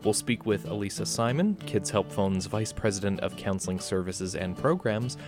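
A man's voice: fairly low pitch, normal speed, over music. A man co-hosting a radio show, upbeat and chatty, with lots of filler uhs. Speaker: radio co-host. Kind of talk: casual radio chat. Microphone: studio microphone.

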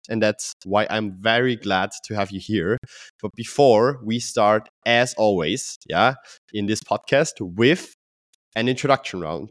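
A man hosts a podcast in a fairly low voice, full of enthusiastic, effusive remarks. His voice sounds dry and close, with no room echo. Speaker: podcast host. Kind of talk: podcast interview.

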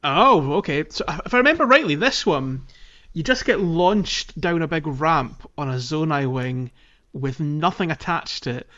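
A man's voice: fairly high pitch, animated, measured pace, few pauses, sharp tone. Man chatting casually, slightly dull recording. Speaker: podcast host. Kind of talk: casual gaming podcast chat.

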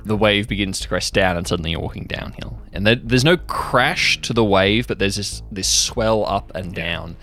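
A man with a fairly low, bright, forward voice narrates an improvised audio drama. He is casual and descriptive, feeling out the scene as he talks, with faint music underneath. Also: normal speed, hardly any pauses. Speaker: game-master style narrator.

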